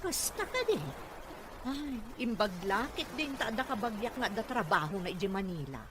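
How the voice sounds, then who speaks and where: A woman's voice: mid-range voice, expressive, moderate pace, background noise, lightly acted. A woman acting a proud, inquisitive village mother in an Ilocano drama, with an Ilocano accent. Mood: proud, prying. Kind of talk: amateur Ilocano radio-style drama acting.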